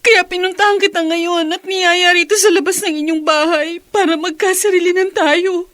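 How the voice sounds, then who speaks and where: An actress playing a confused, troubled young person in a radio drama, speaking hesitantly and timidly. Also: female voice, extremely high pitch, steady tone, normal speed, a bit bright, no room sound. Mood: tearful, troubled. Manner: hesitant, stammering.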